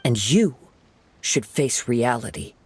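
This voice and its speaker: An actor playing a calm, principled young wandering swordsman in a video game, speaking in a measured, polite, composed way. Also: medium pitch, breathy edge, exaggerated voice. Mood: firm, challenging. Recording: game music behind the voice.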